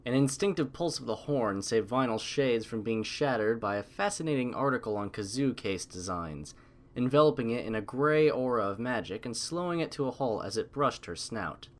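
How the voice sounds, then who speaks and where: A man's voice: mid-range voice, expressive, moderate pace. Man narrating a story.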